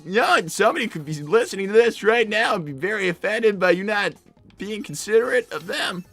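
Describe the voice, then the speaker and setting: A man's voice: sits high, animated, a little fast, fairly bright tone, faint music behind, exaggerated voice. A man voicing a flustered, defensive young cartoon fox boy with a cracking voice and a stammering, exasperated manner, in an improvised comedy livestream. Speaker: livestream co-host in character.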